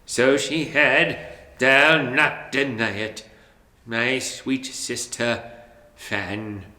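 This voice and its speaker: A man voicing an old miser who speaks slowly and with strong feeling. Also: medium pitch, steady tone, sharp tone, full character voice. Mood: tender. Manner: drawn-out, emotional delivery. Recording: roomy echo.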